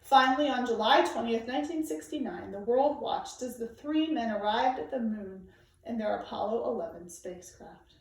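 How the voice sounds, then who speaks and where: A woman reading a children's nonfiction book aloud in a measured, emphatic way, phrasing it for young listeners. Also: a little high, animated. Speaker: storybook reader. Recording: very echoey room, distant microphone.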